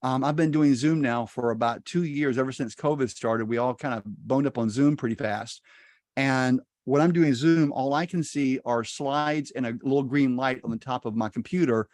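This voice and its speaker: A man's voice: mid-range voice, a little mellow, dry recording, clean studio sound. A middle-aged man, a native Spanish speaker, teaching real estate investing in a folksy, upbeat way over a video call.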